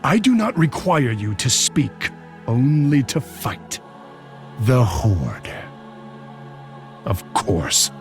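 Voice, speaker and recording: A cold warrior king, who speaks very slowly with dramatic swings in pitch. He is curt and commanding, with faint music behind his game lines. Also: huge pitch swings, full character voice. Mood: cold, stern. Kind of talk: video game hero voice lines.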